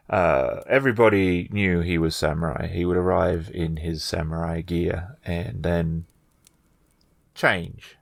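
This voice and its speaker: Village man, feudal fantasy tabletop game, male player acting: lowish, slow, polite, plainspoken, halting delivery. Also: no room sound, clean studio sound. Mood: measured. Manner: measured, formal, halting.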